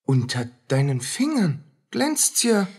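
A poor common soldier in a radio play. His voice is husky and unhurried, burdened with weariness and suspicion. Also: high voice, huge pitch swings, heavily acted. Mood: suspicious. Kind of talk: radio drama acting.

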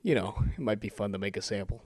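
A man's voice: fairly low pitch, quick pace, a little mellow, slightly rough, dry recording, clean studio sound. A man making an amateur voice-over sample, speaking in an informal, joking, self-amused way. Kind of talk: casual chat and rapid-fire list reading.

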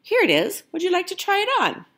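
A woman's voice: extremely high pitch, huge pitch swings, fairly quick, fairly bright tone, slight background noise, pitched above the performer's own voice, heavily acted. A woman voicing a cheerful, helpful young female shop assistant in a picture book, chirpy and lilting.

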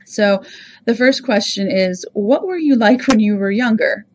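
A woman's voice: mid-range voice, unhurried, dull audio, slight background noise. A woman from Rhode Island with no regional accent, hosting a podcast and talking casually about herself with a chatty, self-reflective manner. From Rhode Island, USA. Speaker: podcast host. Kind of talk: casual personal monologue.

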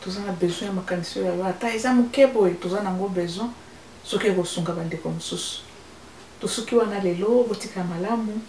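A woman's voice: medium pitch, a little fast, a little mellow, echoey room, distant mic, slight background noise, rough recording. A middle-aged woman, a show host appealing to her viewers and mixing French into Lingala. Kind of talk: closing address to viewers. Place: indoor studio set with flowers.

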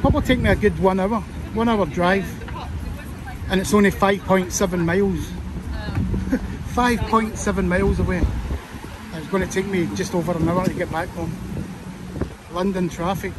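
A man's voice: sits high, fairly even intonation, moderate pace, pauses often. A Scottish man with a sore throat, chatting casually to viewers as he walks and films. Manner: chatty, rambling asides to viewers. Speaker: vlogger. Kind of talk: casual walking commentary. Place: busy city street outdoors. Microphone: handheld phone camera. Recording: outdoor street with crowd and traffic noise.

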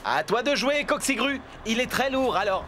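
A man's voice: very high voice, animated, moderate pace, a little hiss or hum, full character voice. A man playing an upbeat, patient builder who leads a team with encouraging instructions in a children's cartoon. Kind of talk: animated children's series dialogue. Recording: loud music behind the voice. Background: loud music.

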